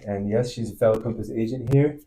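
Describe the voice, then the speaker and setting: A man hosts a casual podcast chat, his voice warm and mellow at an ordinary pitch. He talks in a halting way, full of fillers, and reacts with surprised interjections. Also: steady tone, low-quality recording. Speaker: podcast host, real estate agent.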